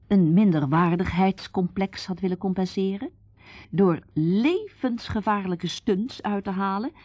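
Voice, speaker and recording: A woman's voice: lowish voice, dark tone, breathy edge, dry recording, full character voice. A woman playing the composed storyteller who narrates a Dutch radio drama.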